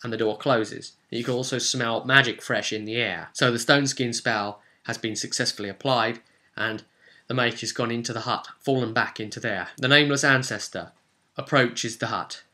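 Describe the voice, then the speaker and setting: A man's voice: medium pitch, steady tone, normal speed, pushed and bright. A man narrating a tabletop fantasy role-play session as game master, telling it dramatically and off the cuff in vivid, emphatic short phrases.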